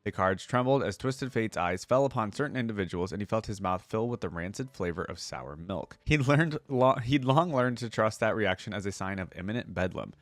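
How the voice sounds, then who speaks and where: A man's voice: fairly low pitch, lively intonation, brisk, few pauses, no room sound. A man hosting a reaction video, moving between casual commentary and reading a story aloud.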